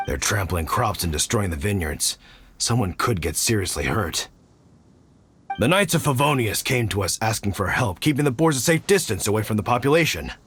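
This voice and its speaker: A man's voice: on the low side, big pitch swings, moderate pace, a bit bright, breathy edge, exaggerated voice. An actor playing a middle-aged hunter and caring father in an anime game, reflective and plain-spoken. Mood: hearty. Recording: faint music behind.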